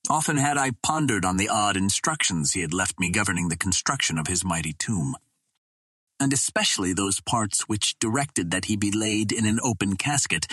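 A man narrates a classic adventure story in a fairly low register. His voice is rough and husky, and his phrasing is measured and formal. The sound is close and dry, with very little room. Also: fairly bright tone, no echo. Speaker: audiobook narrator. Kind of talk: scripted literary narration, reading an old adventure novel.

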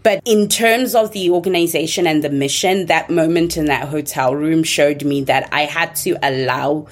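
A middle-aged woman from Zimbabwe, a native Shona speaker, giving thoughtful, measured interview answers with small self-corrections. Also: sits low. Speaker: guest, social entrepreneur.

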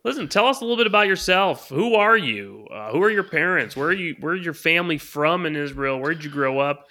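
A middle-aged man co-hosting a podcast, explaining his views at length in a measured way. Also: on the high side, expressive, pushed and bright. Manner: measured, long-winded explaining. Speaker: podcast co-host. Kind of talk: podcast talk and interviewing.

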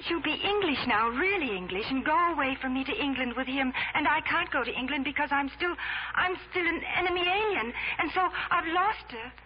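A high-pitched young woman in a 1940s radio drama. She is earnest, anxious and self-doubting, speaking with hesitant feeling. The old broadcast recording is muffled and noisy. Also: expressive, fairly quick. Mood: distraught. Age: young adult.

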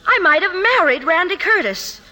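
A self-assured woman editor in a vintage radio play. Her voice sits high and rings brightly, with lively rises and falls. She is poised, with a softening edge. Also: moderate pace, heavily acted. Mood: relieved, confident. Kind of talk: 1950s radio drama acting. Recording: vintage 1950s radio broadcast.

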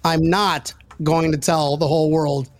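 A man's voice: a little high, level tone, unhurried, dry recording. A man hosting a casual sports podcast with jokey, self-deprecating banter and salty language. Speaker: podcast host.

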